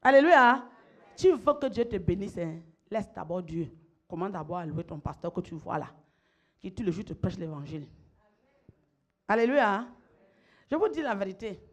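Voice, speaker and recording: A woman's voice: medium pitch, sing-song, normal speed, long pauses. A middle-aged woman pastor, preaching emphatically, repeating phrases and calling on her listeners to respond. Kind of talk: sermon.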